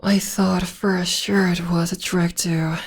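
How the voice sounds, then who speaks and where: Woman as a breathy, half-whispering, gentle witch girlfriend. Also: fairly low pitch, level tone, heavily acted. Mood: tender, reminiscing. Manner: tender, slow, soothing. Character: gentle, loving witch girlfriend comforting her demon partner. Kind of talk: ASMR roleplay, intimate personal attention.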